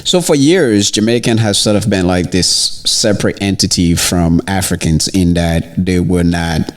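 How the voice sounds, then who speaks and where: A man with a very low voice hosts a podcast and chats easily with his guest. He is from the Ivory Coast and keeps up a relaxed, conversational flow, dropping in agreeing murmurs as she talks. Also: normal speed. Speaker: podcast host. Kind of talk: casual interview.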